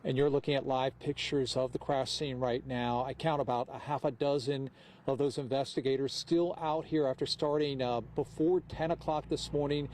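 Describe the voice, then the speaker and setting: A man's voice: medium pitch, steady tone, moderate pace, darkish tone. American male field reporter, noisy outdoor ambience. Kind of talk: live on-scene news report. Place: outdoors at a small airport.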